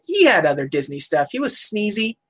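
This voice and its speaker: A chatty woman co-hosting a relaxed talk show, laughing often as she goes. Her pitch sits in a middle range, and she keeps an easy, conversational flow while thinking aloud. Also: brisk, husky. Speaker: podcast co-host. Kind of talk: casual chat about old cartoons.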